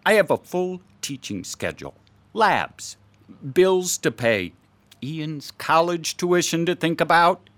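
A man's voice: on the high side, animated, slow pace, a bit bright, no echo, heavily acted. A man acting the part of an irritable, defensive middle-aged husband and professor in a radio drama. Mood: irritated, defensive.

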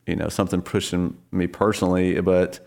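Deep-voiced guy in his 40s, worship leader, laid back and self-deprecating. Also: level tone, brisk, vocal fry, darkish tone. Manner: easygoing, self-deprecating, many ums and you-knows. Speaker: worship leader, podcast guest. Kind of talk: casual interview conversation.

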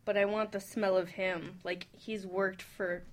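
A woman's voice: medium pitch, little pitch movement, unhurried. A woman chatting candidly and bawdily as a panelist on a radio talk show. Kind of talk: candid, bawdy casual chat.

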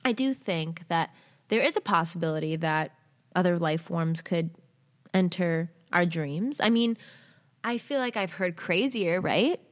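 A woman hosting a show, reading a listener's submitted story aloud in short halting phrases, then chatting candidly with casual slang. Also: lowish voice, expressive. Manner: candid, uses swearing and slang. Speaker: host reading listener stories.

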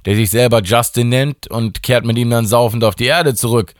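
A man's voice: medium pitch, fairly even intonation, moderate pace, no echo, voice pushed up, close to an everyday voice. A man voicing a tired, down-to-earth young woman who talks in a dry, sceptical way. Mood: wary.

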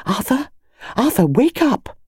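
A woman voicing an excitable upper-class English country wife in an audiobook, urgent and insistent. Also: sits high, a little slow, breathy edge, no room sound, studio quality, voice pushed up, full character voice. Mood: urgent insistence.

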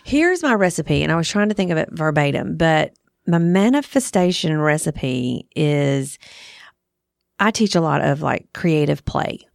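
Woman hosting a podcast, low gravelly voice, chatty and kinda rambling, close dry sound. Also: lively intonation, measured pace, no echo. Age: 30s to 40s. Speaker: podcast host. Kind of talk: casual conversational podcast chat.

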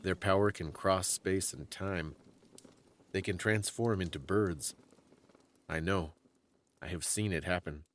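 A man voicing a grave old Russian grandmother in a storybook, giving ominous, deliberate warnings. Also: sits low, a bit bright, a little hiss or hum.

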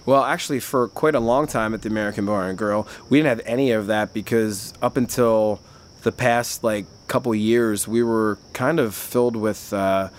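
A man's voice: lowish voice, normal speed, slight background noise. A man who works at a bar, talking in casual chat, rambling and chatty with frequent restarts and filler words. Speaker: bar worker.

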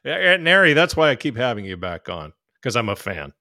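A man's voice: medium pitch, sing-song, fairly quick, sharp tone. A man hosting a casual interview, laughing often and bantering with his guests. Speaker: podcast host.

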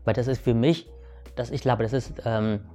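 Halting man. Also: mid-range voice, steady tone, warm tone, soft background music. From Vietnam. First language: Vietnamese. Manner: halting, many restarts and fillers, asks "weißt du". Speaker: guest. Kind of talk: reflective personal storytelling.

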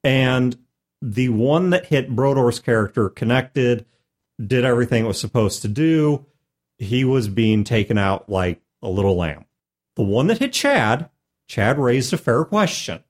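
Animated man telling gaming stories. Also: mid-range voice, a little slow. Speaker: podcast host and game master. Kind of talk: casual storytelling about a tabletop game.

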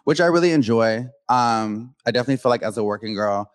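A man's voice: medium pitch, animated, fast talker, dry recording. Sassy chatty man as talk show guest. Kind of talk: casual chat.

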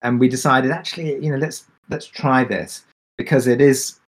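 A man's voice: medium pitch, fairly even intonation. A man with a mid-range voice talks as a podcast creator on a panel. He is reflective and thoughtful, often restarting a phrase or repeating a word before he carries on. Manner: thoughtful, halting, repeats words mid-sentence.

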